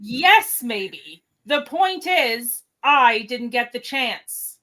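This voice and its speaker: A woman's voice: a little high, big pitch swings, very slow, sharp tone, full character voice. A woman playing an agitated, by-the-book male first officer in an amateur radio drama, scolding and exasperated. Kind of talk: amateur radio theatre reenactment.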